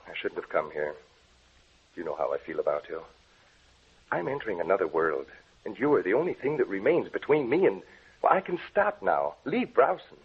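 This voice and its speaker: An actor playing a brooding, obsessed scientist with a grave, melodramatic delivery in an old-time radio drama. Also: male voice, deep voice, sing-song, normal speed, breathy edge, heavily acted. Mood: pleading. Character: brooding, obsessed male scientist plotting to kill his beloved. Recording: muffled old radio recording.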